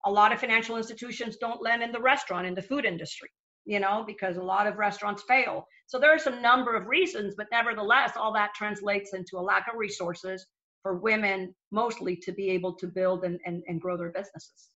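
Bright-voiced woman from Venezuela on a panel. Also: medium pitch, fairly quick. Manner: earnest, repeats words when starting a sentence. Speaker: guest, lender to small businesses. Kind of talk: panel discussion. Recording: dull lo-fi sound.

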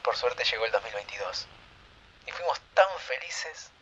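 A man's voice: mid-range voice, lively intonation, moderate pace, pushed and bright, slightly rough. An actor playing a sentimental Argentine man confiding in his best friend through a recorded message in an audio drama. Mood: nostalgic. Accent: Argentine, Rioplatense. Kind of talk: intimate recorded-message monologue in audio fiction. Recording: slightly dull, lo-fi recorded message.

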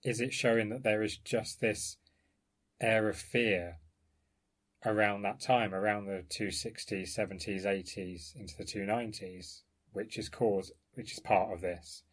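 A man's voice: fairly low pitch, fairly even intonation, measured pace, a bit bright, breathy edge. A man talking as an archaeologist in an informal academic discussion, explaining his research in a discursive, carefully qualified way.

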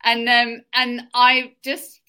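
A woman's voice: a little high, completely flat delivery, takes it slowly, pushed and bright, clean tone. A woman hosting a podcast, interviewing her guest with enthusiastic, affirming remarks. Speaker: podcast host.

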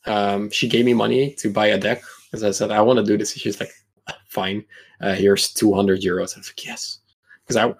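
A man in his mid-twenties chatting casually about his own experiences in a rambling, halting way. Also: lowish voice, creaky, a little mellow, breathy edge. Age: mid-20s. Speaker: podcast guest, competitive card game player. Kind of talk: casual chat, personal anecdotes.

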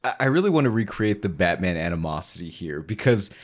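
Low-voiced rambling man, podcast co-host. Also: fast talker. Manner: calls himself monotone, rambling with many "like"s. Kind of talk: casual podcast chat.

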